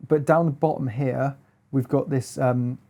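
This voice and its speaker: A man giving a casual hands-on demonstration as a gear reviewer, explaining in a halting, hesitant way. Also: mid-range voice, steady tone, mellow.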